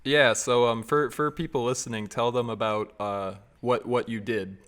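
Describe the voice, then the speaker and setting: A man's voice: mid-range voice, steady tone, moderate pace, a bit bright. Young male podcast host, twenties, easygoing, repeats himself, on a video call. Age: 20s. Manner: laughs often, stumbles and repeats words. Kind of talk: casual video-call chat.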